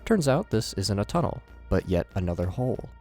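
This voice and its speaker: A man's voice: lowish voice, sing-song, brisk, dark tone. A man hosting and narrating scripted horror commentary in a theatrical presenter style with wry asides. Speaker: video host and narrator. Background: background music.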